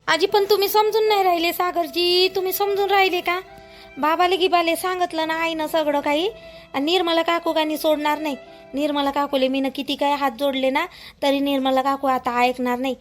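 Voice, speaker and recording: A woman plays an anxious young village wife in a homemade cartoon. Her voice is extremely high, and she pleads and protests in a whining way with a rural Vidarbha Marathi accent. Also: fairly even intonation, fairly quick, faint music behind, natural voice. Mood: anxious, pleading. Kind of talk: amateur cartoon dialogue in rural dialect.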